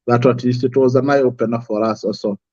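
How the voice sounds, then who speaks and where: A man's voice: medium pitch, steady tone, a little fast, a little mellow, no echo, highs cut off. A man from Kenya, a guest from an apple farm, talking easily and repeating his words. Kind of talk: casual interview talk.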